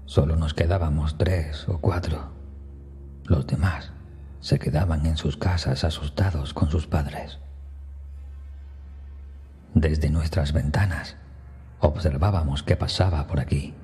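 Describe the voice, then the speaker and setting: A very low male voice tells a horror tale as a scripted first-person narration. The tone is dark and mellow, often sinking close to a hushed whisper. Faint music plays underneath. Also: steady tone, slow, pauses often. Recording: music behind the voice. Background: background music.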